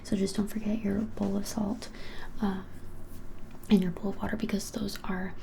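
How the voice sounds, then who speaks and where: A woman in her twenties whispering a casual ASMR shopkeeper roleplay in a breathy, halting, helpful way. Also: medium pitch, little pitch movement, noisy background. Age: 20s. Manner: soft, hesitant, halting, helpful explaining. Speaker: ASMR creator playing a witch shop keeper. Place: small plain indoor room.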